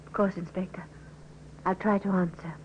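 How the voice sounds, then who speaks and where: An actress playing a distraught, hesitant young wife in an old radio crime drama. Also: female voice, fairly low pitch, flat delivery, normal speed, natural voice. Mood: anxious, subdued. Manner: hesitant, breaking off. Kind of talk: 1950s radio drama acting. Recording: dull, noisy old radio recording.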